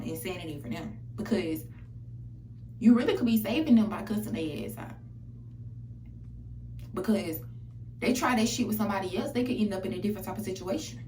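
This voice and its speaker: A woman's voice: medium pitch, dark tone. A young woman giving a casual motivational monologue, blunt, profane and emphatic, repeating phrases for effect. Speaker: self-help vlogger. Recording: echoey, distant mic, background noise.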